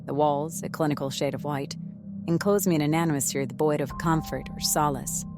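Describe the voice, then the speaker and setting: A woman playing a world-weary, foul-mouthed, sardonic diner manager in a horror comedy audio drama, with halting delivery. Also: low-pitched. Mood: tired, deadpan. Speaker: lead voice actor. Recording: music behind the voice.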